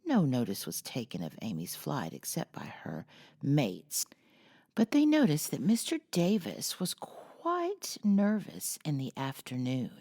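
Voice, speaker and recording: A woman narrates a novel in a low, mellow voice. Her delivery is expressive and swings widely in pitch, with some creak at the edges. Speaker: storyteller reading a novel aloud. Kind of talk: expressive audiobook-style story narration.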